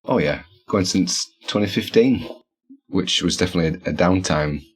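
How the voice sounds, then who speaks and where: A man's voice: deep voice, normal speed. A man co-hosting a podcast, chatting casually and dropping short, dry remarks. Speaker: podcast co-host. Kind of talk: casual chat.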